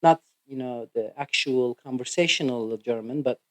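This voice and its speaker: A man from Turkey with a Turkish accent, speaking English as a second language. He is a physical therapist guest on a podcast, and he answers haltingly with many "you know" fillers. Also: medium pitch, no echo.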